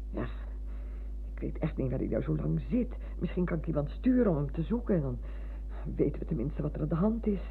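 An actress playing a warm, chatty older former schoolteacher in a Dutch radio play, full of exclamations. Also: female voice, low-pitched, gravelly, a little hiss or hum. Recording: muffled lo-fi old radio recording.

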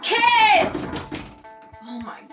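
A woman's voice: very high voice, big pitch swings, drawn-out words, sharp tone. A woman in her thirties playing a strict mom in a family skit, scolding and exasperated, in a living room. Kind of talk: scripted family skit acting. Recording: echoey room, far from mic, background music.

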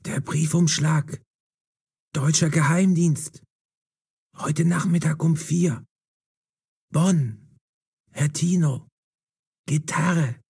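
Wounded secret agent, furtive, pained, raised pitch, dry close sound, audio drama. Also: male voice, vocal fry, a little mellow, husky, dry recording, exaggerated voice. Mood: urgent, secretive. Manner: panting, whispered, halting warnings.

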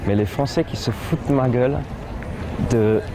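A man's voice: medium pitch, normal speed. A young man chatting casually in a park, teasing and self-mocking. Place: outdoor city park with crowds. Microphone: hidden mic, filmed from afar. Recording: noisy outdoor park ambience.

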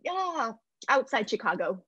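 A woman playing an eager, awkward, apologetic new mother, gushing and halting, in a comedic play reading with a husky voice. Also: on the high side, big pitch swings, a bit bright, dry recording, full character voice. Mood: chatty. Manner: nervous, gushing, halting self-corrections. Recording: muffled video call audio.

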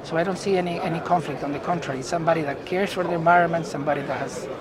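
A middle-aged man from Chile with a Chilean Spanish accent, answering interview questions as a company executive in halting phrases. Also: a little high, fairly even intonation. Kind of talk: unscripted business interview. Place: indoor booth at a trade conference. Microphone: lapel mic. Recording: busy event hall chatter behind.

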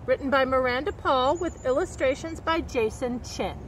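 A middle-aged woman reading a picture book aloud to young children in an expressive, carefully enunciated way, recorded outdoors. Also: high-pitched, moderate pace, rough recording. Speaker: storybook reader and nature educator. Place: outdoors by a woodland creek. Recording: outdoor background noise.

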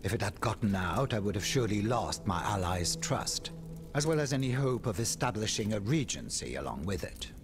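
A woman's voice: very deep voice, big pitch swings, moderate pace. An actress playing a courteous, unflinching female automaton bodyguard in a video game, formal and composed. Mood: grave. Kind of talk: video game cutscene acting. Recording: game music behind the voice.

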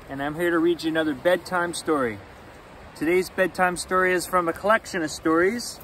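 A man in his forties reading a children's picture book aloud expressively, in simple, deliberate phrasing. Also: high voice, a bit bright. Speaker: storyteller reading children's bedtime stories. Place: outdoors on a rainy forested shore. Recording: outdoor ambient noise.

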